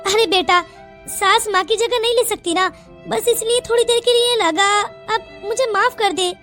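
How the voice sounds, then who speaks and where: High-voiced motherly mother-in-law, acted by a woman. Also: moderate pace, bright, forceful tone. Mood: apologetic, affectionate. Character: concerned middle-aged mother-in-law, suspicious yet affectionate. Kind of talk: melodramatic Hindi cartoon story dialogue. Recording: music under the voice.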